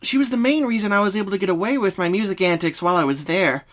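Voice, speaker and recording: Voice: mid-range voice, fast talker, fairly bright tone, pitched above the performer's own voice, natural voice. A performer voicing a young female pink pony, sweet and earnest, with a timid, hesitant manner, in a story reading. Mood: recalling, earnest.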